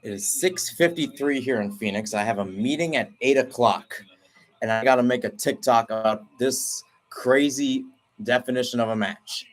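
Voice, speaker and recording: A young man doing excited play-by-play commentary of a soccer penalty shootout, with his pitch and volume leaping at each kick. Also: normal speed. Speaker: radio play-by-play announcer. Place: at home, not at the stadium.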